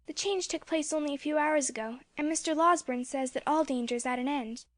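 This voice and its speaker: Polite, high-voiced boy played by a woman, eager tone. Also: a little fast, no room sound, natural voice. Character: eager young boy, earnest.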